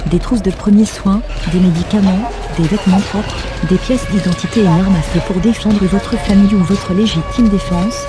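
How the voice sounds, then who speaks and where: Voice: mid-range voice, normal speed, few pauses. A formal, synthetic-sounding announcer reading a scripted emergency broadcast alert. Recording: music behind the voice.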